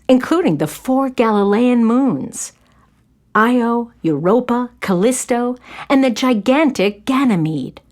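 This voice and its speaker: A woman's voice: on the high side, darkish tone. A woman narrating a scripted children's story as its storyteller.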